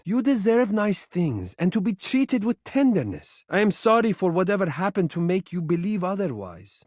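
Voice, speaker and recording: An actor playing a vain, tender living mirror in a video game, speaking in dramatic, florid, formal and affectionate phrases. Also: male voice, high voice, a little mellow, dry recording, clean studio sound. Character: vain, tender, theatrical living mirror, adult male suitor. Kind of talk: video game character voice acting.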